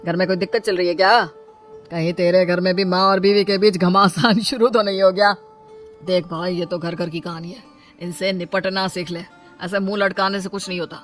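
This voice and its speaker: A man's voice: sits high, fairly even intonation, normal speed, fairly bright tone. A man playing a teasing young friend who hands out advice in an audio story. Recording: music under the voice.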